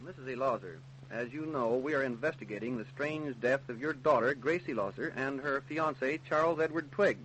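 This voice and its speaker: A man's voice: medium pitch, brisk, hardly any pauses, fairly bright tone, slight background noise, natural voice, low-quality recording. A male actor playing a formal, authoritative figure in an old-time radio crime drama, with declamatory delivery. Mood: formal, official.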